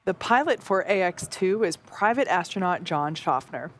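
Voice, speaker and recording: A woman's voice: on the low side, animated, moderate pace. A woman co-hosting live mission coverage, friendly and explanatory with casual fillers. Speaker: broadcast co-host. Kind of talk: live broadcast commentary.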